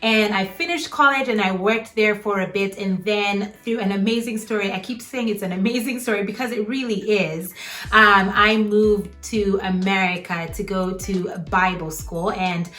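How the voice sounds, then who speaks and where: A woman's voice: medium pitch, fairly even intonation, normal speed, fairly bright tone, soft background music. A young woman from Uganda talking earnestly to camera in a faith vlog, with small laughs between her phrases.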